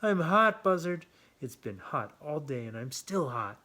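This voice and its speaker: A man acts out a proud little squirrel from a children's storybook. It grumbles emphatically about being hot, with the voice pushed up high. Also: very animated, a little slow, sharp tone, heavily acted. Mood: hot, grumbling. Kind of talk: children's storybook read-aloud.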